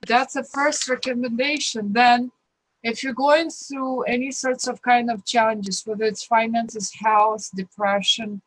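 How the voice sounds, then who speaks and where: A woman from Ukraine with a Ukrainian accent, a guest talking earnestly and warmly about her life, rambling and restarting her sentences. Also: fairly high pitch, dry recording, rough recording. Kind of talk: casual interview talk, personal advice.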